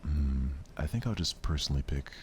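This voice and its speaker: A soft-spoken man doing ASMR let's play commentary on a game, murmuring to himself without hurry over some audible background noise. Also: rumbling low, lively intonation, a little fast. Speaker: gaming streamer playing a visual novel.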